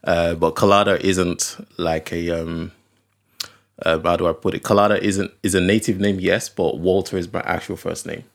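A young man from Nigeria, a podcast guest, talking in a relaxed, unhurried way with frequent ums and uhs. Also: deep voice, vocal fry. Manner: relaxed, hesitant with fillers, laughs easily. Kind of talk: casual conversation.

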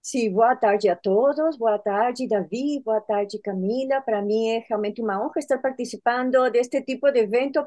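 A woman from Peru with a lasting Peruvian accent, speaking as a law professor in a polite, measured academic conversation with small fillers. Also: medium pitch, mellow, no room sound.

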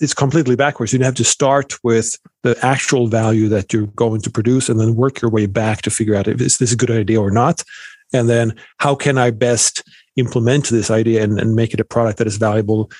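A man's voice: medium pitch, steady tone, a little fast, a little mellow, no room sound, clean studio sound. A middle-aged man from Sweden, a professor answering interview questions thoughtfully, with many fillers and restarts. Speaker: economics professor guest.

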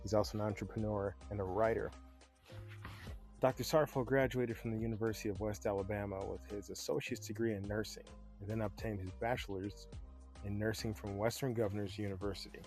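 A man's voice: on the low side, fairly even intonation, fairly quick, a little mellow, soft background music. A man hosting a podcast, moving from a punchy scripted intro into loose, chatty interview questions. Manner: upbeat announcer-style delivery, loose and chatty in conversation. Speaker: podcast host. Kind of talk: scripted intro then casual interview chat. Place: Chicago-area podcast setup.

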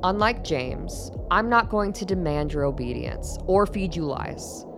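An actress playing a composed, secretive middle-aged doctor in a radio drama, speaking in a measured, formal and persuasive way. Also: female voice, on the low side, animated, vocal fry, over music. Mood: calm, persuasive. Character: composed, secretive older woman doctor defying her former master.

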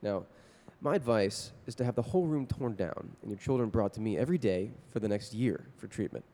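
A man as a low, mellow, grave psychologist. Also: lightly acted. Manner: deliberate, advisory. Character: grave, measured psychologist, uneasy family adviser. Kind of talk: old-time radio drama acting.

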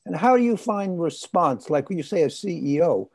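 A man with a husky, gravelly voice hosts a podcast conversation. His pitch sits a little high and moves in lively swings. He asks questions and backs up his guest with short interjections. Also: moderate pace. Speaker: podcast host.

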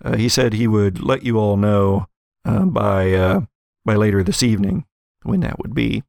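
American man as small-town deputy, casual, folksy, halting, offhand, gravelly, tabletop game character. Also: on the low side, a little mellow, no room sound, studio quality. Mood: casual, informing.

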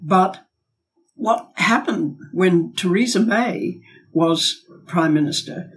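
A woman's voice: on the low side, takes it slowly, breathy edge, poor audio. A woman, a former diplomat and academic, speaking as a guest in a podcast interview and arguing her points emphatically with rhetorical questions. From Australia.

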